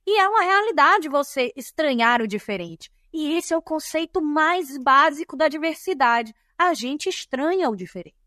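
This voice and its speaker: A woman's voice: high voice, expressive, normal speed, hardly any pauses, sharp tone, dry recording, studio quality. A woman with a Bahian Brazilian accent, a guest on a podcast interview, explaining diversity and compliance in an emphatic, teaching way. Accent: Bahian Brazilian Portuguese. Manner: emphatic and didactic, lists points.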